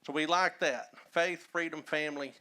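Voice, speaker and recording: A man from north Texas with a Texan accent, a historian speaking as a guest and telling folksy stories with many pauses. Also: on the high side, fairly even intonation, pushed and bright, low-quality recording. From Texas, Dallas-Fort Worth area. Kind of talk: casual storytelling talk.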